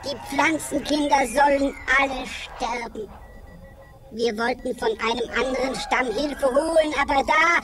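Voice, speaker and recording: A woman playing a timid, frightened jungle tribe native in a sci-fi radio play, speaking haltingly and fearfully. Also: sits high, over music. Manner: slow, halting, fearful, chanting foreign-sounding words. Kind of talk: 1980s German children's sci-fi radio play acting.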